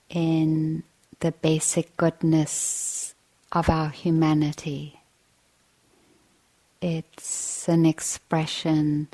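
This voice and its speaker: A woman meditation teacher giving a guided dharma talk, gently and in measured short phrases with long pauses. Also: deep voice, rough recording.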